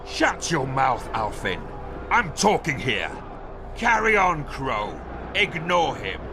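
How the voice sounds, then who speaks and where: An actor playing a cruel, mocking warlord who rules a fighting pit in a video game, commanding and taunting. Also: male voice, a little high, sing-song, slow, background noise, full character voice. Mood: scornful. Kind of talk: dramatic video game acting.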